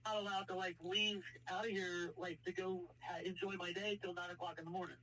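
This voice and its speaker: A woman on a casual phone call, explaining her situation in a halting way. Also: on the low side, little pitch movement, fairly bright tone, slight background noise. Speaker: caller seeking work.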